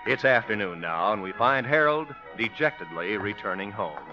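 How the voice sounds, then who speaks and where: A man's voice: on the low side, animated, normal speed, over music. A man with an American accent announcing and narrating in scripted 1950s network radio style. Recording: muffled vintage radio broadcast.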